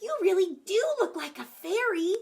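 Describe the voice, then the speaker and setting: A woman's voice: very high-pitched, expressive, normal speed, creaky, heavily acted. A woman voicing a timid, nervous little woodland animal in a children's puppet show, shy and hesitant.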